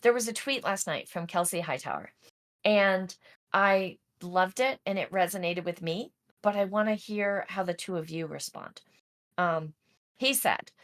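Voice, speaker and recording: Woman podcast host, lowish voice, chatty and playful. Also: fairly bright tone. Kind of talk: casual podcast conversation.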